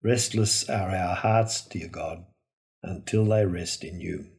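A man giving a solemn, reflective scripted sermon in measured, deliberate phrases. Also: low voice, vocal fry, a little mellow. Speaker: preacher giving a Gospel homily.

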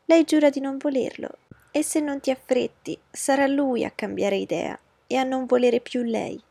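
A woman voicing an agitated middle-aged mother who talks high and fast in alarm. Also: vocal fry, warm tone, husky, dry recording. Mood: frantic. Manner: high-pitched, agitated, pleading.

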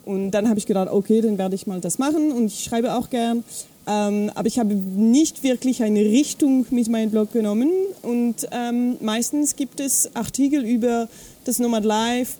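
A woman with a Swiss French accent, a native French speaker, chatting as an interview guest and often pausing to search for words. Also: a little high, mellow. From French-speaking Switzerland. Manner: halting, searches for words, many fillers. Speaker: guest, travel blogger. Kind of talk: casual interview chat. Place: outdoors at an evening camp.

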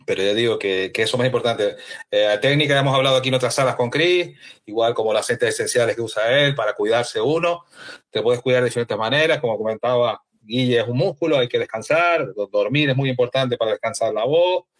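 Man hosting an audio chat room, mid-pitched, easy and conversational. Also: a little fast. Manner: easygoing, animated, radio-announcer-like delivery. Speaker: moderator of a social audio room. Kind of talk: casual chat.

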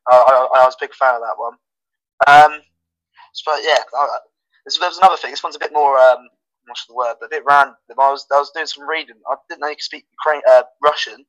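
A man's voice: mid-range voice, quick pace, fairly bright tone, poor audio. A man hosting a casual podcast, asking chatty questions with some stumbles. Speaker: podcast host.

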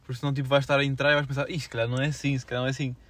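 A man's voice: medium pitch, little pitch movement, racing, a bit bright. A young man with a European Portuguese accent, co-hosting a casual podcast in informal, slangy speech full of filler words. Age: young adult. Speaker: podcast co-host. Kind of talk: casual student podcast chat.

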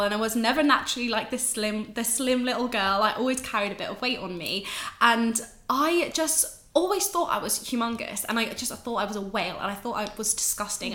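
A woman's voice: fairly high pitch, fairly quick, bright, forceful tone, roomy sound. A woman in her twenties with an English accent, a podcast guest talking candidly and casually, swearing now and then. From England, raised in Ireland. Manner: chatty, candid, swears, laughs often.

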